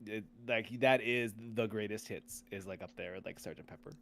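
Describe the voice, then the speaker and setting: A man hosting a podcast in casual chat, often repeating and rephrasing himself in a halting way. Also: on the low side, creaky, fairly bright tone. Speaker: podcast host.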